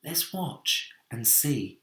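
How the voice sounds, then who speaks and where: A man voicing a cautious young boy in a storybook, speaking in a hushed, whispery way. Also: mid-range voice, very animated, very slow, pitched above the performer's own voice, heavily acted. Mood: calm, watchful. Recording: echoey room.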